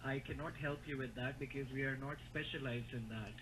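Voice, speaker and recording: A man's voice: medium pitch, fairly even intonation, a little fast, a bit bright, husky. A man, speaking firmly and formally as a technical support agent on a phone call. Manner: firm, formal.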